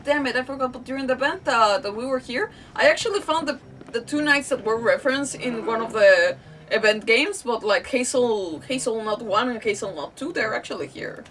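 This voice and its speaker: Streamer girl, highish bouncy voice, rambly casual chat, bit far from mic. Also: a little fast, a little hiss or hum. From Mexico. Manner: rambling, giggly, animated. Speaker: game streamer. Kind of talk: casual livestream chat over gameplay. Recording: distant mic, faint game music. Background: game music.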